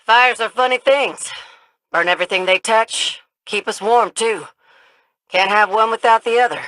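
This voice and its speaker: A woman's voice: fairly high pitch, normal speed, noisy background, lightly acted. An actress playing a folksy, upbeat woman who guides fighters in a video game. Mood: reflective, warm. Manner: folksy, informal drawl. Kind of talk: video game mission voice lines.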